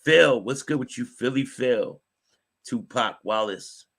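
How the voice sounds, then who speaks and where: Raspy-voiced man hosting a live stream. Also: medium pitch, slow pace. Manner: reads news aloud, then greets viewers by name. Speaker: host. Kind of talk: live-stream news commentary and chat with viewers.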